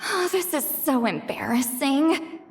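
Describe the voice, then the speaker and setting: A woman's voice: high-pitched, animated, slow pace, slight background noise, exaggerated voice. An actress playing a grumpy, easily flustered young woman in a video game, exasperated, proud and prone to stammering. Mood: embarrassed, defensive.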